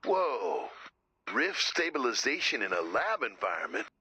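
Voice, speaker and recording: A man playing a laid-back but excitable tech sidekick in a video game, husky, casual and inquisitive. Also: mid-range voice, measured pace, no room sound, exaggerated voice. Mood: curious, casual. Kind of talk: scripted video game dialogue.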